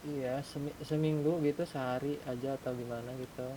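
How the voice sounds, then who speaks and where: Male gamer, Indonesian accent, mid-range pitch, informal group talk, rumbly noisy voice-chat recording. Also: fairly even intonation, fairly quick. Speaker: gaming group member in an online roleplay session. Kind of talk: casual gaming group chat, colloquial speech.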